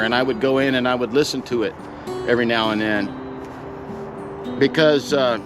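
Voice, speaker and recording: A middle-aged man sharing a personal story in a reflective, sincere, plain-spoken way, as if chatting to viewers. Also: medium pitch. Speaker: pipe vlogger telling a personal story.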